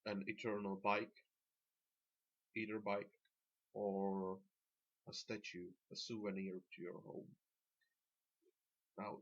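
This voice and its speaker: A man with a Slovak accent giving halting, plain-spoken, unscripted commentary as he guides viewers through a video game. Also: on the low side. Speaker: gamer presenting a dungeon tanking guide. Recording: dull lo-fi voice track.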